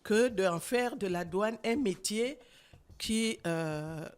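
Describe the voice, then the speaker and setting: A woman in her sixties with a Gabonese French accent, a guest author speaking earnestly and emphatically in a radio interview, pausing often with fillers. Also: mid-range voice, expressive. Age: 60s. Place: radio studio.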